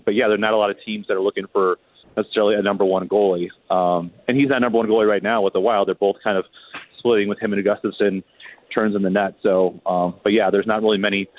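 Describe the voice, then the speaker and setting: A man speaking as a reporter guest on a sports show, talking through hockey analysis in a hesitant, word-repeating conversational way. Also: on the low side. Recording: thin phone line, roomy echo.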